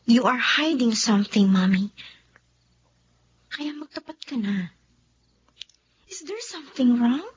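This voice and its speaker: A woman's voice: a little high, animated, takes it slowly, long pauses, husky, exaggerated voice. A woman playing a grown daughter worried about her mother, mixing Tagalog with English, in a slightly muffled radio drama. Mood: probing.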